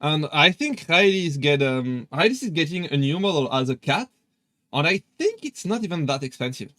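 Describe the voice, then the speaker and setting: A man's voice: a little high, sing-song, normal speed, a bit bright, dry recording, clean studio sound. A young man with a French accent chatting casually on a live stream, hesitant with many fillers and restarts. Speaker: VTuber streamer.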